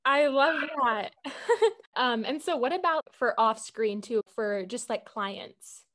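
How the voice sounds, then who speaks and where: A woman's voice: a little high, expressive, slow pace, a bit bright. A woman hosting a podcast, interviewing a guest and praising her in an upbeat, encouraging way. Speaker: podcast host.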